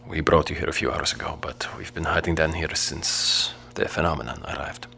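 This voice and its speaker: An actor playing a gentle, devout father and wary survivor in an audio drama, speaking in a measured, formal, polite way. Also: a bass voice, creaky, a little hiss or hum, exaggerated voice. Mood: calm, polite.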